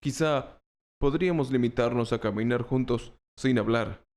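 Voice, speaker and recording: A man's voice: medium pitch, normal speed, darkish tone, close to an everyday voice. Guy doing a naive knight for an audiobook, medium voice, earnest, puzzled, kinda anxious. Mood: puzzled, hopeful. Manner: earnest, questioning. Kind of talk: audiobook character acting.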